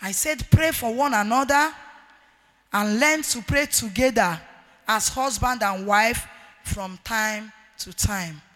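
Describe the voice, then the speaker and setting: A middle-aged woman with a Nigerian accent and a loud, forceful voice, preaching a marriage sermon emphatically and repeating key phrases. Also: on the high side, slow pace. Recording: echoey hall with long reverb.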